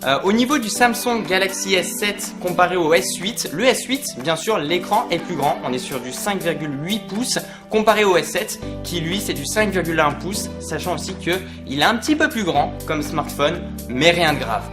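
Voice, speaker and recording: A tech reviewer talks to viewers in an informal, conversational way. The voice sits at a middle pitch and explains features casually. Music plays clearly behind it. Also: lively intonation, normal speed. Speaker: tech reviewer and vlog host. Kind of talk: casual product review to camera. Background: clear background music.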